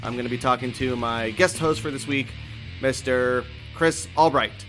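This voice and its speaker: A man hosting a casual comedic podcast, chatting in a joking, animated and profane way. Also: medium pitch, normal speed, faint music behind, poor audio. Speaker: podcast host.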